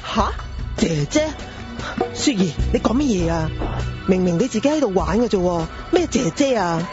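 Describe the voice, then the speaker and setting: A woman plays a concerned father in a horror radio drama, questioning and urging in a puzzled way. The sound is a little dull, with music clearly behind. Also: mid-range voice, big pitch swings, moderate pace, close to an everyday voice. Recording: dull lo-fi audio. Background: prominent background music.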